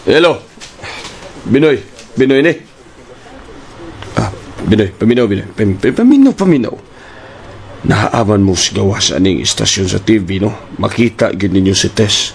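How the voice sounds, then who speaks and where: An actor playing a fussy, excitable, stammering TV station boss in a radio drama, with a Cebuano Filipino accent. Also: male voice, fairly low pitch, normal speed, creaky, long pauses, a little hiss or hum. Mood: scheming. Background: background music.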